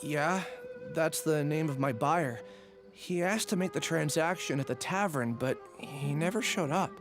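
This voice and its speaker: A man's voice: fairly high pitch, a little slow. An actor playing a nervous, cowardly male researcher in a video game, stammering and flustered. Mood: anxious, explaining. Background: faint music.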